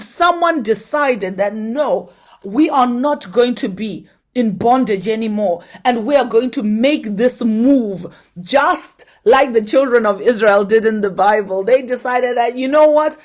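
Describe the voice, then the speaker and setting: A woman from Zimbabwe, speaking in a fairly high voice. She delivers a motivational address to a conference audience and keeps pressing them with direct questions. Her tone is earnest and exhorting.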